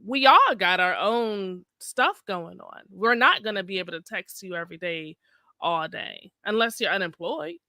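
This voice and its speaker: A woman's voice: mid-range voice, lively intonation, normal speed, sharp tone, no room sound. A woman co-hosting a podcast in casual conversation, speaking in an emphatic, colloquial way. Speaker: podcast co-host.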